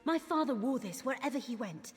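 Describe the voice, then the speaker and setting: A woman's voice: on the high side, lively intonation, fairly quick, close to an everyday voice. An actress voicing an earnest young woman thief searching for her missing father in a video game, confiding in tone. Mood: puzzled, searching. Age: young adult. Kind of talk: video game character dialogue. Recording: game music behind the voice.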